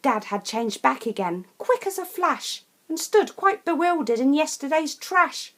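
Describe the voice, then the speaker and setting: A woman reads a rhyming children's picture book in a theatrical, deliberate and emphatic way. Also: high-pitched, animated, a bit bright. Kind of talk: expressive rhyming storybook narration.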